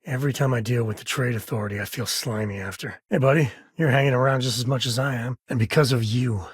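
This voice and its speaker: An actor playing an easygoing, folksy space cowboy and devoted dad in a video game, with an American accent and a husky, grainy voice. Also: male voice, medium pitch, steady tone, fast, dry recording, clean studio sound, lightly acted. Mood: wry, casual. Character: easygoing wry space cowboy, devoted father, adult man. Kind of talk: video game character dialogue.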